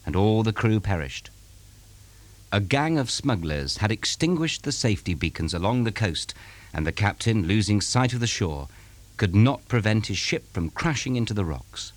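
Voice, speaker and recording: A man reading a scripted story aloud in a suspenseful, deliberate, dramatic storytelling manner. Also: lowish voice, lively intonation. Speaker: story reader.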